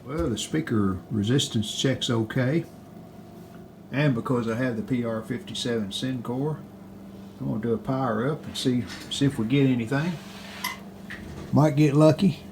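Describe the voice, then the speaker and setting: Man thinking aloud, clearly noisy recording. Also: medium pitch, normal speed, pauses often. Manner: unscripted, thinking aloud in short phrases while working. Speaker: hobbyist radio restorer presenting a repair video. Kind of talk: casual hands-on repair commentary. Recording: clearly noisy home-video sound.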